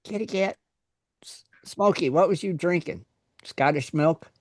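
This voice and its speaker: A man's voice: a little high, measured pace, a little mellow, no echo, clean studio sound. A man in his sixties hosting a live online radio chat, joking and laughing often. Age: 60s. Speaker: radio show host.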